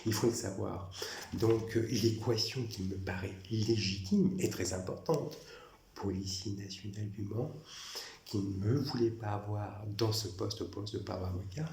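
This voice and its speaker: A low-voiced man from France talks in a long, rambling monologue, circling back over the same points with insistent repetition. His voice has a rough, gravelly fry, and the room around him rings with echo. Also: moderate pace, a little mellow, slight background noise. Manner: repetitive, insistent, restarts phrases. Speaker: former prison guard recounting grievances.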